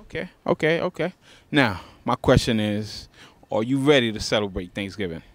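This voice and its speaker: A man's voice: fairly low pitch, expressive, brisk, no room sound. A man interviewing people on the street, casually repeating "okay" and restarting his questions.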